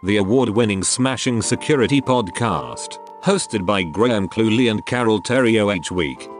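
Lowish male promo announcer over music. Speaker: advert announcer. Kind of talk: scripted podcast promo. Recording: music behind the voice.

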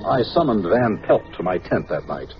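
Straight-laced army officer, low but animated, old radio show with music. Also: male voice. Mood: measured, narrating. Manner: formal, deferential, measured. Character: dutiful male army officer, earnest and literal-minded. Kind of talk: old-time radio drama acting with narration. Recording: dull vintage radio recording with music.

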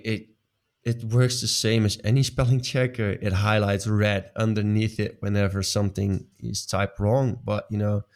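A man's voice: fairly low pitch, darkish tone. A man speaking English as a second language in a casual chat, hesitant, with many fillers and restarts. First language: not English.

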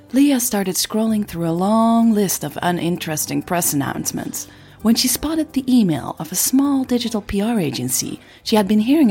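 A woman's voice: medium pitch, a little mellow, husky. A woman narrating scripted audio fiction as a podcast host.

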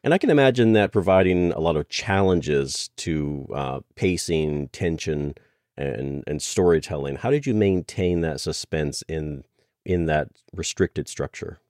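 A man's voice: a bass voice, expressive, measured pace, darkish tone, dry recording, studio quality. A man hosting a podcast, chatting casually and putting questions to his guest in a conversational way. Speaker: podcast host.

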